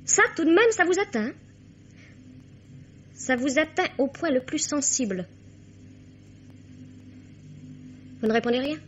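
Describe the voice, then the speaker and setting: A woman's voice: sits high, lively intonation, normal speed, slight background noise. An actress playing an indignant, wounded teenage girl in a French radio mystery, accusing and impassioned. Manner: accusing, impassioned, formal address. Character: indignant, wounded teenage girl confronting her father's mistress. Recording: dull, lo-fi vintage radio sound.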